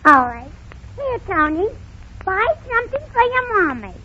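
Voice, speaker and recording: A woman playing a mischievous, tender little girl with drawn-out, ungrammatical baby talk in a 1930s radio comedy. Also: very high-pitched, sing-song, sharp tone, heavily acted. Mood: sweet, earnest. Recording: scratchy, muffled 1930s radio recording.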